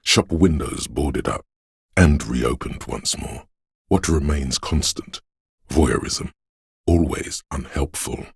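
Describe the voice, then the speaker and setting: Super deep gravelly game narrator, ominous poetic sixth sense of a cold city, slow and solemn. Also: male voice, dry recording, clean studio sound, exaggerated voice. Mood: solemn, eerie.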